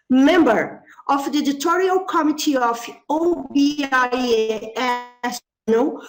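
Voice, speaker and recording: A woman's voice: high voice, poor audio. A woman moderator reading out a guest speaker's credentials in a formal, list-like way.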